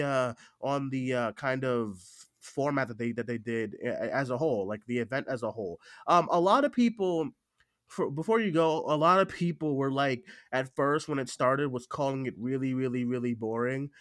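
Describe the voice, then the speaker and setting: A man with a stuffy cold hosts a casual podcast, talking in a halting way that often doubles back on phrases. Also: mid-range voice, a bit bright, no echo, studio quality. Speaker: podcast host.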